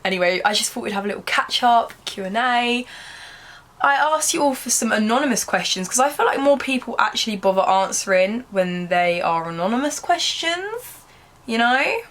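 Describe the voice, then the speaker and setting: She is a young British woman with a fairly high voice. It has a slight croak from a cold she is getting over. She talks in a casual, chatty way, like a vlogger sitting down to address the viewers. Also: lively intonation, normal speed, a bit bright. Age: young adult. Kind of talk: casual chatty sit-down talk to camera.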